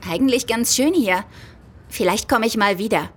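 A woman's voice: a little high, normal speed, husky. An actress playing a cunning, nervous female art thief who keeps up a polite front in an audio drama. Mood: relieved. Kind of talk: German audio drama acting with inner monologue.

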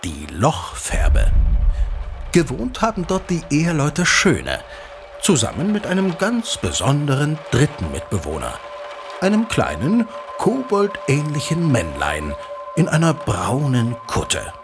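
An actor playing an eager father who recounts an old legend in an audio drama, animated, teasing and dramatic, like a keen storyteller. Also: a little high, a little slow, heavily acted. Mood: absorbed, storytelling. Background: music.

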